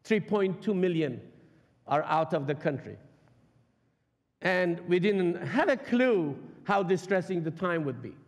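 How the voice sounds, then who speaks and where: Iranian-born guy preaching from a church stage, highish voice, big echo off the hall, really emphatic. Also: animated. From Iran. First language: Persian. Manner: impassioned, emphatic, slow weighty stresses. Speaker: guest preacher. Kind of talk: sermon. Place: large church sanctuary stage. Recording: long reverb of a church hall.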